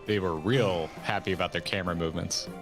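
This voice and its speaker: A man's voice: deep voice, fast talker. A man giving casual, wry and analytical reaction commentary as a streamer watching video game cutscenes. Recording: game music playing behind voice. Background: video game cutscene music.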